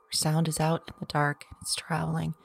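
A woman's voice: low-pitched, monotone, brisk, gravelly, slight background noise. A woman playing a frightened woman who whispers, repeats herself and breaks off mid-sentence. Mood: hushed. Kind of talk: audio drama monologue.

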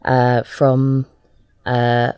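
A woman with a low voice speaks casually as a guest in an interview. She feels her way through sentences haltingly, and the sound is close and dry. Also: completely flat delivery, a little mellow, clean tone, no echo. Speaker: interview guest, audio drama writer.

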